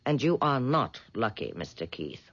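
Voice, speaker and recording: An actress playing an eccentric older spinster neighbor in a 1970s radio drama, fussy and foreboding. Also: female voice, a bass voice, big pitch swings, moderate pace, heavily acted. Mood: ominous. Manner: fussy, then dark and foreboding. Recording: muffled 1970s radio broadcast.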